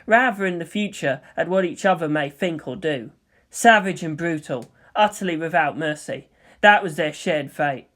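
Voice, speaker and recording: A woman reading a story aloud as an audiobook narrator, in an expressive scripted style. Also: low-pitched, moderate pace. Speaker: audiobook narrator of fan fiction.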